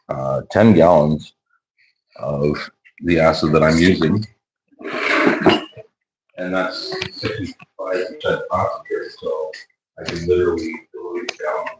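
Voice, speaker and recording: Hoarse, very deep-voiced man. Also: a little mellow, rough recording. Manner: easygoing, rambling with restarts. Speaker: YouTuber and car builder. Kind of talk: casual vlog chat. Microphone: wireless clip-on mic.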